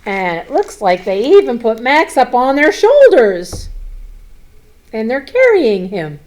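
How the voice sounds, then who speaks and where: A woman reading a picture book aloud to children, expressive, with chatty asides, questions and simple explanations. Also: on the high side, slow.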